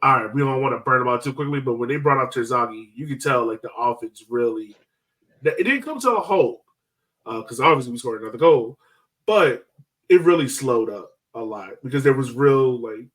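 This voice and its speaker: A man chats about sport as a podcast co-host, sounding some distance from the mic. His phrasing is informal and loose, strung together with filler words, in a mid-pitched voice. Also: lively intonation, fairly quick, a bit bright. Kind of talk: casual sports chat. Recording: distant from the microphone.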